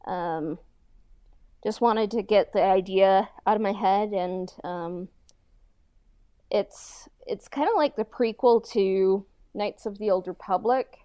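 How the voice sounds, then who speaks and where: A woman co-hosting a podcast in casual, halting chat peppered with filler words like "like" and "kinda". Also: mid-range voice, dark tone, clear, resonant tone, no room sound. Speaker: podcast co-host and audio drama writer.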